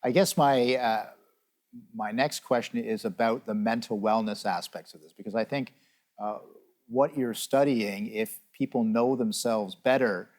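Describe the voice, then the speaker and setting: A man hosting a TV interview, asking questions in a halting way with hesitations. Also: medium pitch, darkish tone. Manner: halting, many fillers and restarts when asking. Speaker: TV interview host.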